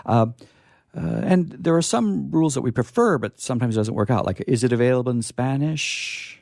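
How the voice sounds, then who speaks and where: A man hosting a casual live discussion, with a hesitant, halting delivery. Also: mid-range voice, lively intonation, creaky, darkish tone, dry recording. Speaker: host.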